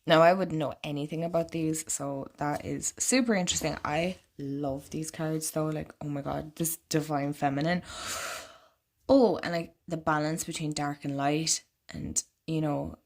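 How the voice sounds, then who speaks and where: Woman vlogger, lowish voice, congested and croaky, loose rambling delivery. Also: steady tone, normal speed, a little mellow. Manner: chatty and rambling, lots of "like". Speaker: oracle card reader and vlogger. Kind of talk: casual deck walkthrough.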